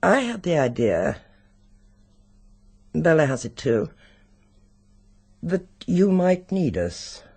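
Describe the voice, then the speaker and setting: A British actor playing a sinister, secretive occult expert in a radio drama, speaking deliberately and insinuatingly. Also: male voice, on the high side, very animated, vocal fry, a little mellow, breathy edge, heavily acted.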